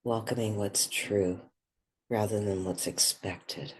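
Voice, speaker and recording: A woman guiding a meditation, speaking gently in short, measured phrases with long pauses between them. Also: rumbling low, steady tone, slight background noise. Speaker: guided meditation leader. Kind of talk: guided meditation.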